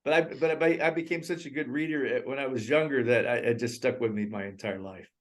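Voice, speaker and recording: An older man, around sixty, co-hosts a casual movie review chat over a remote call. He rambles from one thought to the next and breaks into laughter often, at an ordinary pitch for a man. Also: fairly quick. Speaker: co-host. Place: in Thailand.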